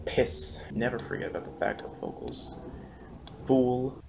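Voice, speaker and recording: A young man with a low, monotone voice, chatting casually and self-critically in a behind-the-scenes vlog from his bedroom, swearing often. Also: a little slow, gravelly, reverberant, noisy background. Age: young adult. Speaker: rap battle creator. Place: small bedroom. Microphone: studio condenser mic.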